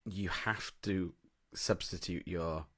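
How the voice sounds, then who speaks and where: A man's voice: low voice, steady tone, takes it slowly, a bit bright, no echo, studio quality. A man hosting a podcast interview, rambling with frequent restarts and fillers. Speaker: podcast host. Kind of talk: casual interview chat.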